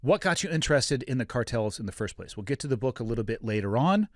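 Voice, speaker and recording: A man hosting a podcast, reading a steady, deliberate introduction and then asking questions in a relaxed way. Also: mid-range voice, dry recording, clean studio sound. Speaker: podcast host.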